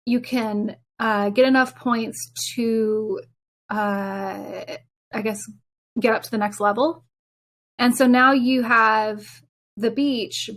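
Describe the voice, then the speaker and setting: Female podcast panelist, higher-pitched voice, casual and enthusiastic, given to playful overstatement. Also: fairly bright tone. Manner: informal, enthusiastic, dramatic exaggeration. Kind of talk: casual, animated gaming chat.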